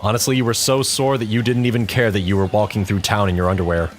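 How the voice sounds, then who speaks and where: A man with a fairly low voice narrates a scripted story. He reads as the storyteller, with music playing softly behind him. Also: level tone, fast. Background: background music.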